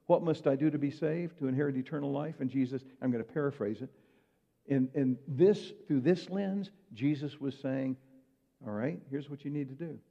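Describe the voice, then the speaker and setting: A man's voice: medium pitch, moderate pace, creaky, dark tone. A man preaching a sermon in a conversational way, asking his listeners rhetorical questions. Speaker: pastor. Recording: muffled hall reverb.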